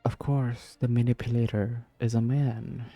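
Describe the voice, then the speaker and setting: A man's voice: medium pitch, flat delivery, unhurried, warm tone, no room sound. A man giving casual, exclamatory live reactions to a video game, with sassy interjections. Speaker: gamer reacting to a game playthrough. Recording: game music behind the voice.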